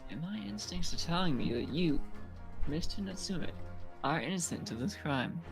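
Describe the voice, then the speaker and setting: A man's voice: fairly high pitch, lively intonation, moderate pace, over music, pitched above the performer's own voice. A man acting an earnest young student lawyer in a video game fan dub, hesitant yet resolute.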